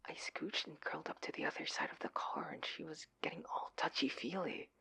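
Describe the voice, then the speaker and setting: A man playing an anxious, adorkable husband in an intimate audio roleplay, speaking in a breathy, pleading manner. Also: on the low side, moderate pace, darkish tone. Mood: panicked whispering. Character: adorkable, anxious, oblivious husband, affectionate and flustered.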